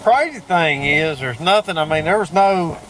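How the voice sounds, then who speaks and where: A man's voice: a little high, expressive, moderate pace. A man at a roadside scene, puzzling aloud with a police colleague. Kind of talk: on-scene talk with a fellow officer. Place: outdoors at a roadside creek. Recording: noisy field recording.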